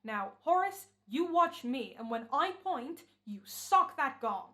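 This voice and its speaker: A woman playing an officious, brisk radio producer in an old-time American radio comedy. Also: sits high, sing-song, pushed and bright, exaggerated voice. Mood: commanding. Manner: brisk, authoritative. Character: officious radio show producer giving firm orders.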